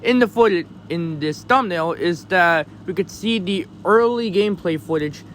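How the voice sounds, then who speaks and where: Male video host, slightly high voice, casual explainer commentary with choppy, halting delivery. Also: fairly bright tone, a little hiss or hum.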